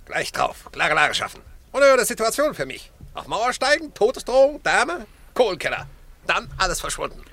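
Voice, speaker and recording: An actor in a German radio play playing an outraged army major who barks in clipped, telegram-style bursts. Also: male voice, high-pitched, sing-song, moderate pace, bright, forceful tone, heavily acted. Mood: agitated, clipped.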